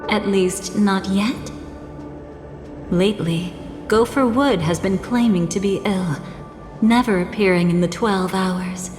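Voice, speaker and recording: Enigmatic game lady, teasing n composed, real slow talker, big echoey reverb w music. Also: mid-range voice. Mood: calm, intrigued. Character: enigmatic, teasing woman with a calm taste for danger. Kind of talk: video game cutscene voice acting. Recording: long echoing reverb, game music behind.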